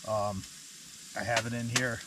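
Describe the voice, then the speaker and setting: Relaxed man rambling from a tent. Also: medium pitch, measured pace, noisy background. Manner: relaxed, rambling with fillers and restarts. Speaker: outdoor vlogger. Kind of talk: casual camp vlog. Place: inside a canvas hot tent in autumn woods.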